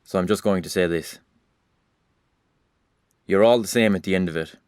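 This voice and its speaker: A man's voice: low voice, normal speed, a little mellow, clean tone, dry recording, clean studio sound, natural voice. A man playing Rain as a weary observer of humanity, addressing the listener directly in a wry, reproachful audio drama monologue.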